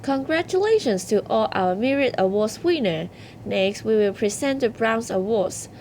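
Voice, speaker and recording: A woman's voice: fairly high pitch, lively intonation, normal speed, darkish tone, slight background noise. A woman hosting an awards ceremony, reading scripted announcements.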